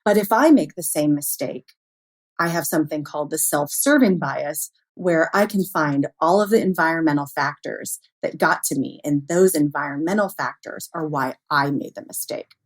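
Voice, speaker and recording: A woman's voice: low-pitched, normal speed. A woman speaking as an expert guest in an interview, explaining things in an informal, conversational way with everyday examples. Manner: frequently chuckles, informal, illustrates with acted-out quotes.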